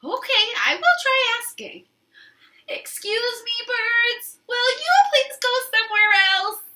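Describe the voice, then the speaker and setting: A woman's voice: extremely high pitch, takes it slowly, bright, forceful tone, voice pushed up, full character voice. A woman voicing an anxious, fretful male elephant in a storybook reading, with a whiny, wailing way of complaining. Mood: timid polite.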